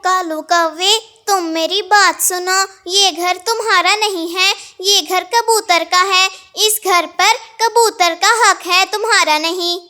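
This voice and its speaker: A woman's voice: extremely high pitch, fairly even intonation, slow, few pauses, sharp tone, heavily acted. A woman voicing a kind, peace-loving female bird in a cartoon story, earnest and reasoning. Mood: firm.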